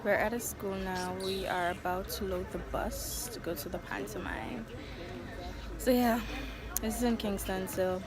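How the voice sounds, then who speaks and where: A woman's voice: medium pitch, steady tone, measured pace, over music. A young woman with a Jamaican accent chatting casually to her vlog audience, recorded on a handheld phone. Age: young adult. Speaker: vlogger. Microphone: phone at arm's length. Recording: handheld phone vlog, loud background voices.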